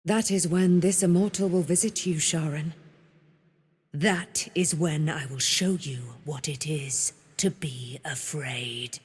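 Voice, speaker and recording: A woman's voice: low voice, slow, a little mellow, slightly rough, dry recording, full character voice. An actress voicing a proud, righteous, vengeful immortal female knight in a grand, archaic, declamatory fantasy game style. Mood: imperious. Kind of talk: theatrical fantasy game voice acting.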